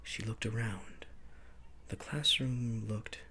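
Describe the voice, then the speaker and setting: A man reading a bedtime story in a hushed, soothing ASMR narration. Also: lowish voice, fairly even intonation, takes it slowly, slight background noise. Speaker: storybook reader.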